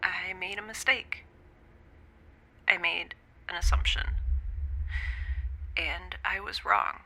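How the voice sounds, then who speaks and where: A woman in an audio drama speaking a halting, often whispered confession. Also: fairly low pitch, pushed and bright. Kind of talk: scripted audio drama monologue.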